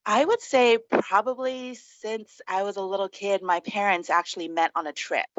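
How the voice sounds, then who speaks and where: A woman's voice: mid-range voice, normal speed, fairly bright tone, dry recording. A woman from Singapore speaking casually as an interview guest, looking back on her experiences, on slightly lo-fi audio. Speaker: interview guest, food and travel journalist. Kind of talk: casual reminiscing interview chat.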